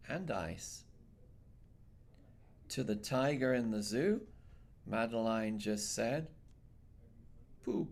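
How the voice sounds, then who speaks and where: A man reading a children's picture book aloud in an expressive, measured storytelling style with dramatic phrasing. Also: lowish voice, creaky, husky. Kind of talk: expressive bedtime read-aloud narration.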